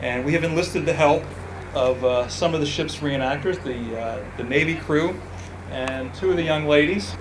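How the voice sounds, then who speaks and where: A man introducing a radio-play reenactment to a live audience in a relaxed, conversational way. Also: fairly high pitch, moderate pace. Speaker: family member presenting the event. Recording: echoey noisy space.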